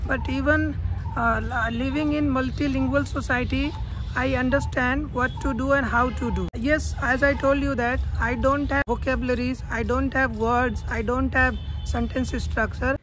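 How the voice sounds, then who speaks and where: A high-pitched woman gives a spoken lesson, circling back over the same points with lots of "uh" and restarted words. Clear music plays under her voice the whole time. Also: steady tone, normal speed, low-quality recording. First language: not English. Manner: repetitive, earnest, many fillers and restarts. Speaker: English teacher. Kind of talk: spoken English lesson monologue.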